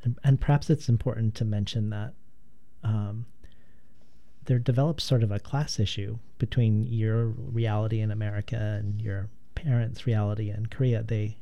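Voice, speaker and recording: A man interviewing in a polite, tentative and measured manner, in a literary conversation. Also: on the low side, little pitch movement, warm tone, lo-fi sound.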